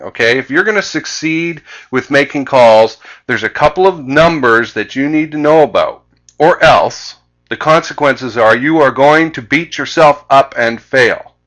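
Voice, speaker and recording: A man with a Canadian accent presenting a sales lesson as a coach, speaking emphatically and deliberately and repeating key phrases. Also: mid-range voice, a bit bright, poor audio. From Vancouver, Canada. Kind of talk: instructional slide presentation.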